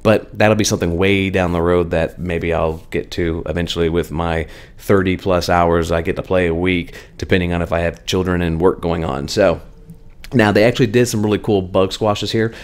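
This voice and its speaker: A man hosting a weekly game news update in a chatty, conversational way, his voice slightly hoarse. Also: sits low, steady tone, moderate pace. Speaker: gaming channel host.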